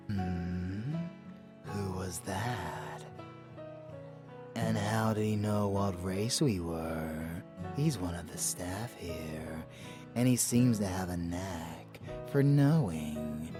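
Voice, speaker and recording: Man playing a very slow, deep vampire lover. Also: distant mic. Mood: conspiratorial, affectionate. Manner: slow, hushed, murmured endearments. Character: rebellious male vampire lover, doting, darkly scheming. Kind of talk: intimate ASMR roleplay audio drama. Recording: heavy echo, music underneath.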